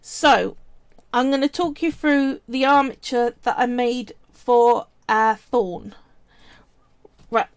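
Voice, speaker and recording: A woman's voice: a little high, steady tone, smooth, no echo. A woman casually talking viewers through a polymer clay sculpting project on a live stream, chatty and explanatory. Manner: chatty and explanatory, often pausing to say "right" and "so".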